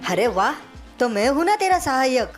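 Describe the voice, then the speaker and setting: A woman's voice: high-pitched, sing-song, normal speed, over music. A woman voicing an envious, scheming young male helper in a cartoon moral story. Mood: friendly.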